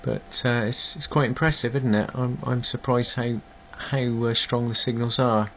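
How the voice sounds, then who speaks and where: A man's voice: mid-range voice, fairly even intonation, normal speed, fairly bright tone. Hesitant man with faint background noise. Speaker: amateur radio operator demonstrating a contact. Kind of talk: casual radio chat and demonstration.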